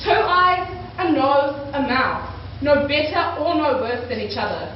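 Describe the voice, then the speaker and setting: A woman's voice: high-pitched, expressive, measured pace, slight background noise. A teenage girl from New Zealand delivering a prepared speech-contest oration in an emphatic, rhetorical way. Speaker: student speaker. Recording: echoey hall, distant dull mic.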